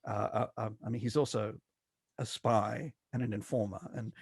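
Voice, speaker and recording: A man's voice: lowish voice, steady tone, moderate pace, vocal fry, breathy edge, no echo, studio quality. A middle-aged man from South Africa with a mixed, mostly Australian accent, speaking as a guest scholar in an interview, hesitant and full of fillers and restarts. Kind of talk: academic interview.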